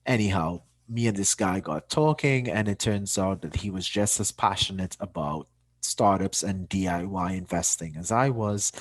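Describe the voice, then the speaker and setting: A man's voice: low voice, no room sound. A man, a startup founder giving a plain-spoken, informal personal talk to an online community. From Trinidad and Tobago. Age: 30s. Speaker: startup founder and chief executive.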